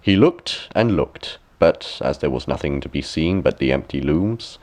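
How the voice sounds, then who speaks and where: Deep-voiced, animated male storyteller. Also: a little slow. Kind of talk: expressive scripted fairy-tale narration.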